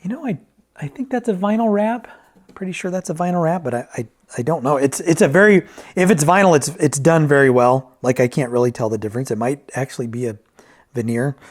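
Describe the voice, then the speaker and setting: A man's voice: fairly high pitch, brisk. A man talking casually through an unscripted product review, rambling and correcting himself, often checking in with "right?". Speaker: reviewer and repairer of vintage tape decks.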